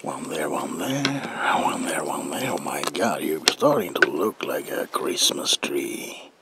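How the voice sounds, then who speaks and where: A man's voice: very deep voice, very animated, a little slow, very noisy, full character voice. A man playing a gleefully deranged comic-book villain in a whispered ASMR roleplay, with a theatrical drawl and playful menace. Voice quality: breathy gravelly whisper.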